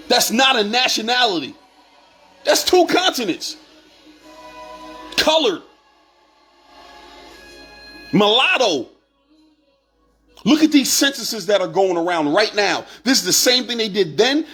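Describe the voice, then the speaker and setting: High husky guy preaching hard at viewers, keeps repeating himself, soft music under it. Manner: preachy, repetitive, rising to shouts. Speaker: online commentator. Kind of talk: impassioned monologue to viewers.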